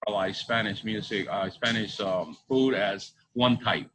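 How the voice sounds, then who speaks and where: A middle-aged man from Bolivia answering interview questions conversationally, halting and repeating his phrases. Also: lowish voice. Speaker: restaurant co-owner. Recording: faint background ambience noise.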